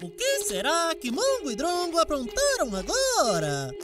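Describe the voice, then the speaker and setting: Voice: high voice, wildly swooping pitch, a little slow, full character voice. An actor voicing a brash, eager video game character in a children's cartoon, exclamatory and exaggerated. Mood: grumbling. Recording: loud music behind the voice.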